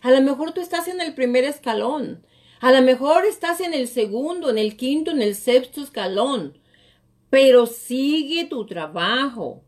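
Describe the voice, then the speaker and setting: A woman's voice: high-pitched, lively intonation, measured pace, a little mellow. A middle-aged woman, giving an emphatic motivational talk as a coach on a live show, often repeating phrases. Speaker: real estate coach and live show host.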